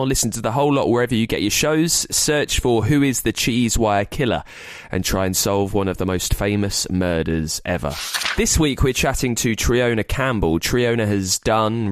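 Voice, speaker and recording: A man's voice: deep voice, no echo, clean studio sound. A man speaking as a podcast host, delivering a scripted but conversational introduction in a chatty, informal way.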